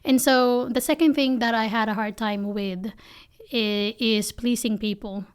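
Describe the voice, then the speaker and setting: Animated Filipino woman, higher voice. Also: a little slow, clean tone. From Philippines. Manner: earnest and animated, frequent "you know". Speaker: guest, company founder. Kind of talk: candid interview answers. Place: small office studio with bookshelves. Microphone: desk podcast mic on boom arm.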